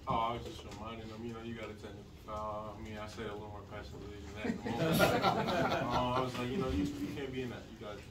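A young man in his twenties, a college basketball player, answering press questions in a low-key way, full of "um" and "you know". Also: quick pace, hardly any pauses. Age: 20s. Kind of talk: post-game press conference answers. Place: press room behind a table with a sponsor backdrop. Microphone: table mic, recorded from a distant camera. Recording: echoey room, far from the mic, background noise.

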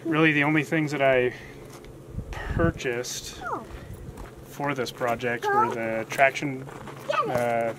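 A man's voice: medium pitch, level tone, slow pace, pauses often. A man in his thirties casually explaining his own build, recorded outdoors by a camera a few steps away. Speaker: guest showing his trailer build. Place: outdoors in a dirt yard. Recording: loud outdoor noise, off-camera voice.

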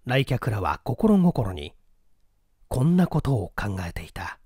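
A man's voice: mid-range voice, sing-song, slow, warm tone, breathy edge, dry recording, clean studio sound. A man reading a classic mystery novel as an audiobook narrator, in formal, dramatic storytelling style.

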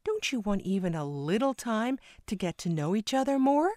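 A woman playing an elderly, deferential royal advisor in an audio drama, formal and halting. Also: medium pitch, sing-song, darkish tone, no room sound, clean studio sound, lightly acted. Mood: worried. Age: older.

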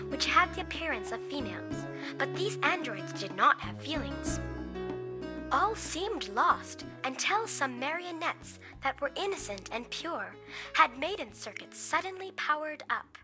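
High-voiced sweet android girl. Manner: polite, presentational. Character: sweet, earnest android girl, tour guide. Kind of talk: English anime dub acting.

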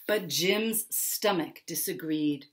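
A woman in her forties narrating a children's story expressively, with dramatic pauses and emphasis. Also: fairly low pitch. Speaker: picture-book author reading aloud. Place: small furnished room at home, indoors.

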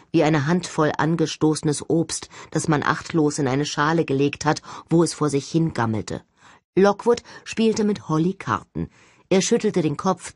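A woman narrates a novel in the first person. Her voice sits low for a woman, and the sound is dry, with hardly any room in it. She reads as an audiobook narrator. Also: a little mellow, no room sound.

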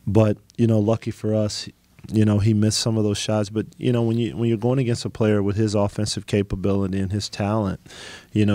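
A middle-aged man coaching basketball, answering reporters after a game, halting, with many ums and restarts. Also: lowish voice, warm tone, no room sound. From United States. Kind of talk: post-game press conference answers.

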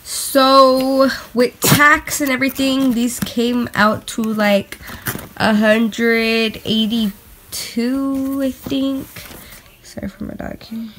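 Slow, hesitant young-sounding female reviewer. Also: a little high, steady tone, a bit bright. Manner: hesitant, halting, informal. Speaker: sneaker reviewer. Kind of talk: casual unscripted product review. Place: bedroom at home. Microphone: handheld phone or camera. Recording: clicks and light background noise.

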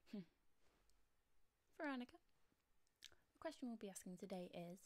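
A young woman playing a domineering, sarcastic high-school queen bee in a soft-spoken, often whispered ASMR roleplay, close on the mic. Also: close to an everyday voice. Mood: bossy, condescending. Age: young adult.

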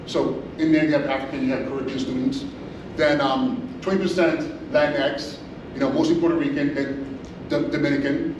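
A middle-aged man from California, a school principal giving an animated conference talk with many restarts and fillers. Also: on the high side. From Inglewood, California. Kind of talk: conference presentation. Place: large hotel meeting room. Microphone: far camera, no mic. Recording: echoey room from far off, audible noise.

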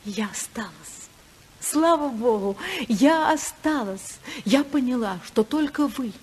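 An actress playing a passionate, unhappy senator's wife in love, in a fervent, emotional 1950s radio drama style. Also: female voice, fairly high pitch, lively intonation, slow, darkish tone, slight background noise. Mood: tender, yearning.

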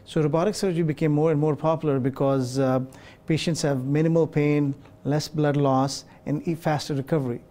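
Mellow-voiced man explaining with many fillers. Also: a little high, fairly even intonation. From Pakistan. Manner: measured, explanatory, frequent uh and um fillers. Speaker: urologist guest. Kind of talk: studio interview answers. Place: television studio set. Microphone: lapel mic.

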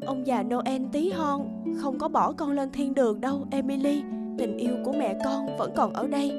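A woman voicing a gentle, patient young stepmother in a cartoon, soft and earnest. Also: high-pitched, moderate pace, close to an everyday voice. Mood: tender. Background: background music.

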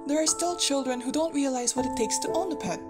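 A woman's voice: sits high, quick pace, over loud music, lightly acted. A woman voicing a friendly talking puppy who patiently teaches a boy about pet care. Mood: proud. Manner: cheerful, explaining patiently. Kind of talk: student animated comic voice acting.

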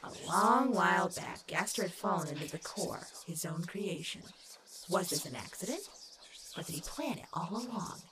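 A woman's voice: on the low side, expressive, normal speed, noisy background, natural voice. A woman voicing a sinister, mocking demon child in a dramatic comic dub, taunting cruelly and sometimes dropping into a whisper. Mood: coldly taunting.

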